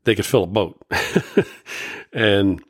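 A middle-aged man from Indiana, hosting a casual solo podcast in a folksy, earnest way, his voice a bit raspy today. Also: lowish voice, slow pace, no room sound, studio quality. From Indiana, USA. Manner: folksy, earnest, many fillers and restarts. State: slightly raspy voice. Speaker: podcast host and life coach.

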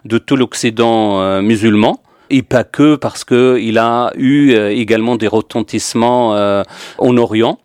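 A man's voice: mid-range voice, a little slow, darkish tone, clear, resonant tone, no echo, clean studio sound. A man from Morocco, a history guest, talking things through in a hesitant way with lots of fillers and restarts. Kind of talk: casual discussion.

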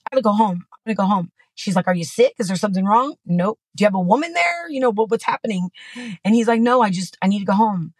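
A woman's voice: medium pitch, no room sound, rough recording. A middle-aged woman telling a personal family story as a podcast guest, candid and rambling, full of "you know" and restarts. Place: Texas.

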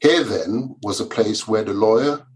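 Measured man in his fifties, reading. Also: fairly low pitch. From Nigeria. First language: Yoruba. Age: 50s. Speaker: author and lawyer. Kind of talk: reading his memoir aloud.

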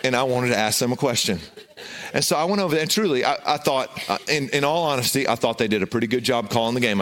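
A middle-aged man with a loud voice, preaching a sermon and telling a self-deprecating, humorous personal story. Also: mid-range voice. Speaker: pastor.

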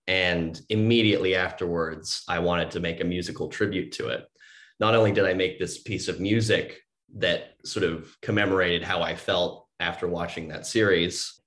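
A man's voice: deep voice, fairly bright tone. A young man talking casually as an interview guest, rambling with lots of fillers and restarts. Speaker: composer guest.

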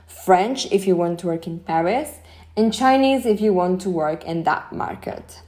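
A woman's voice: mid-range voice, mellow. A young woman with an Italian accent hosting a podcast, giving earnest, chatty career advice with frequent restarts. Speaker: podcast host. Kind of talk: solo podcast advice talk.

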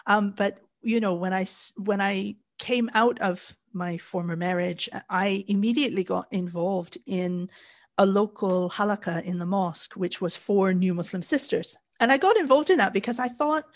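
A middle-aged woman from Canada with a Canadian accent, co-hosting a podcast in a measured storytelling way with frequent fillers. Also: medium pitch. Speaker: podcast co-host. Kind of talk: casual podcast chat.

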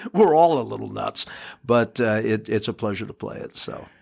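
An American man chatting as an interview guest in an informal, halting way, often repeating words. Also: on the low side, expressive, vocal fry, darkish tone. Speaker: guest, community theater actor. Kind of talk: casual interview chat.

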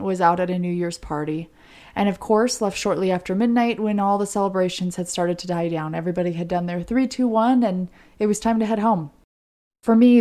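A woman's voice: on the low side, warm tone. A woman co-hosting a podcast, telling a true-crime story in a conversational way. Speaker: podcast co-host.

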